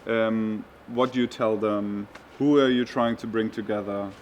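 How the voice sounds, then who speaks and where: A man hosting a travel vlog, casually interviewing a guest with halting, relaxed questions. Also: lowish voice, lo-fi sound, a little hiss or hum.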